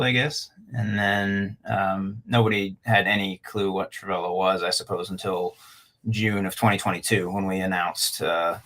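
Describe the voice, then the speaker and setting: A man's voice: on the low side, vocal fry, fairly bright tone. A man from Canada and Alaska, a guest on a talk show, speaking casually and hesitantly with many fillers. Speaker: guest, club owner. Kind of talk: casual interview.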